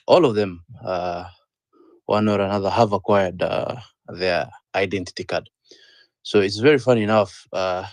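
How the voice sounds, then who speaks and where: A man's voice: fairly low pitch, darkish tone, no room sound. Earnest Kenyan man in his thirties. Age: 30s. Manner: earnest, repeats points, frequent "uh" fillers. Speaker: panel speaker. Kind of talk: impassioned discussion, mixing English and Swahili.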